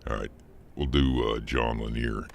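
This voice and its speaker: A man's voice in a short played clip, processed with a pitch shifter and time stretching. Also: fairly even intonation, a little slow, creaky, soft background music.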